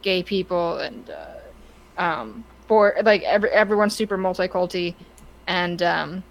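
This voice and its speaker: A woman's voice: on the low side, measured pace, vocal fry, low-quality recording. A woman chatting casually and giving her opinions on a podcast, stammering and restarting her sentences often. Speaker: podcast co-host.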